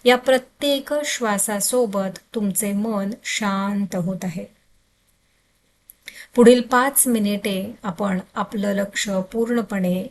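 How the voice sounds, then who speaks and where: A woman leads a guided meditation at an unhurried pace. Her pitch sits in the middle for a woman. She gives gentle, soothing instructions in short phrases, leaving deliberate pauses between them.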